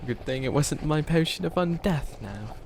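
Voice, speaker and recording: Guy doing a slow creaky evil witch villain, breathy n theatrical but kinda bumbling, asmr roleplay, noisy background. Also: a little high. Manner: theatrical villain declarations breaking into rambling, self-doubting asides. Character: comedic evil witch villain, grandiose yet bumbling and fussy. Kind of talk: comedic ASMR character roleplay.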